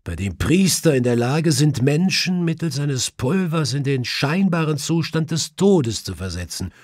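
A man's voice: a little high, very animated, a little slow, a little mellow, no room sound, studio quality, voice pushed up. A man voicing a clever, self-assured male detective who explains things precisely in an audiobook. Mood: assured explaining. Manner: precise, explanatory, faintly mischievous.

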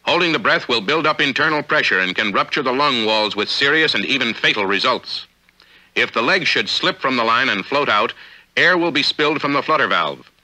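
An American man reading formal, measured, authoritative narration for a 1950s instructional film, on an old film soundtrack. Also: medium pitch, expressive, sharp tone, poor audio. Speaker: narrator of a military training film.

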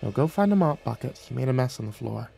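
A man's voice: mid-range voice, lively intonation, quick pace, vocal fry, dark tone, faint music behind. A man voicing an irritable, imperious female water nymph with folksy sass in an audiobook, scolding and giving orders. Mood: soothing, firm. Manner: scolding, bossy, commanding. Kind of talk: audiobook character acting.

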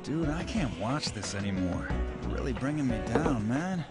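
A man's voice: mid-range voice, sing-song, moderate pace, natural voice. A man voicing a blunt, needling buddy of the hero in a video game cutscene. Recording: loud music over dialogue.

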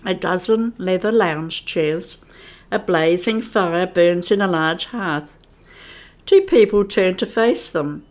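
A woman giving measured, descriptive narration for a scripted radio play. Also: on the low side. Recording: echoey room.

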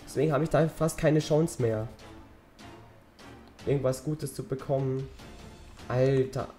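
Teen guy rambling while he plays, bit hoarse from a cold, mellow voice, faint music behind. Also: medium pitch, steady tone, unhurried. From German-speaking Europe. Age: teens. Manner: rambling, chatty, talks while gaming. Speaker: gaming channel host. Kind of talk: casual let's-play commentary.

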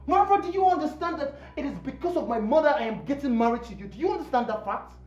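An indignant son, echoey muffled sound. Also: male voice, high voice, expressive, a little fast, recorded from a distance. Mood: incredulous anger. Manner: emphatic, repeating phrases. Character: indignant, proud man fiercely devoted to his mother. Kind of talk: Nollywood-style film drama acting. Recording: echoey room, muffled, faint music.

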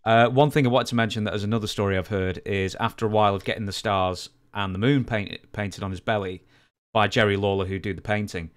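A man's voice: on the low side, fairly even intonation, clear, resonant tone. A young man co-hosting a podcast, speaking earnestly into a studio mic and reading out listener questions. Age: young adult. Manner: quick, earnest, reads questions aloud. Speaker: podcast co-host. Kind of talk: casual interview chat, reading listener questions. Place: room with shelves of collectibles. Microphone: studio mic with headphones.

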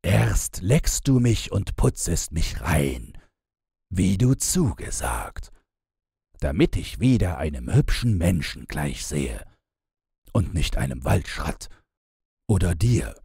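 A man voicing a proud, rough, demanding fellow in a fairy tale, speaking in a firm, commanding manner. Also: low-pitched, big pitch swings, slow, mellow, no room sound, clean studio sound, heavily acted. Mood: defiant.

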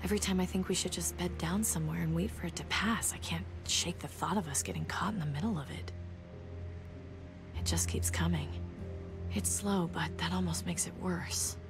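An actress as a tough, rebellious woman in a zombie apocalypse game. She speaks in a slightly low voice, dry, candid and sardonic, keeping it low-key. Music runs clearly behind her. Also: normal speed, close to an everyday voice. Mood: quietly worried. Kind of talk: naturalistic video game drama acting.

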